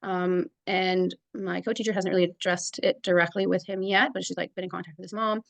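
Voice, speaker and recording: A woman's voice: fairly low pitch, fairly even intonation, brisk, creaky, dry recording. A woman who is a kindergarten teacher chatting casually on a video call, rambling with many fillers. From native English speaker. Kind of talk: casual mentor meeting chat.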